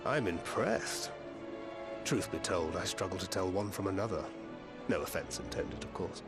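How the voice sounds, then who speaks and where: An actor playing a polite, measured young man in a game cutscene. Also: sits low, lightly acted. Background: game soundtrack music.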